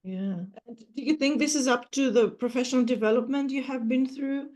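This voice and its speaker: Mellow-voiced woman interviewer. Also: sits high, fairly quick. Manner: long rambling questions with self-corrections. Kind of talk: interview questions.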